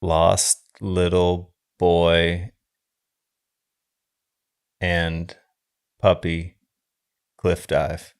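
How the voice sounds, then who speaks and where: Deep, slow-talking man on a film podcast. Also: completely flat delivery, smooth. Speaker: podcast co-host. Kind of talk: casual film discussion.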